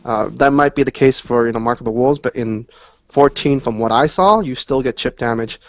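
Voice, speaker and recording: A man talks as a guest player on a gaming chat show, in a middle-pitched voice. He explains things casually and off the cuff, with loose conversational phrasing. The sound is dry, with very little room. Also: brisk, no echo, dull audio. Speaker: guest player giving game analysis. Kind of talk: casual unscripted game discussion.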